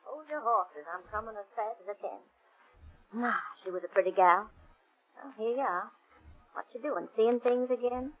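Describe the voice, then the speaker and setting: A woman's voice: on the high side, lively intonation, normal speed, husky. An actress playing a tart, nagging rural woman in a 1930s radio drama. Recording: dull 1930s radio recording.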